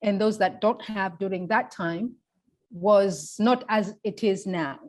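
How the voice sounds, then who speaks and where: Measured, deliberate Tanzanian woman. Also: mid-range voice, a little mellow. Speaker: interviewee, feminist public intellectual. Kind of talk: reflective oral history interview.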